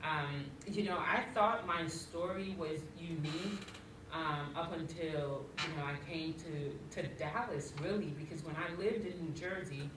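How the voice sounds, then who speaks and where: Woman with a light Haitian accent, echoey. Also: sits low. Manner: halting, many ums and restarts. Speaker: panel speaker. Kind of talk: personal story in a panel discussion. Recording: echoey room from far off, noisy.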